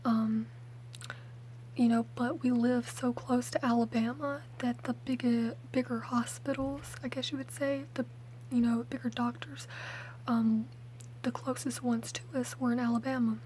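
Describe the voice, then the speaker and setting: Hushed young woman, half whispering. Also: fairly high pitch, little pitch movement, unhurried, slight background noise, poor audio. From Mississippi, USA. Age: late teens. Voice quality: soft, hushed, often whispered. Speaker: ASMR video creator. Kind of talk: ASMR, rambling personal storytelling.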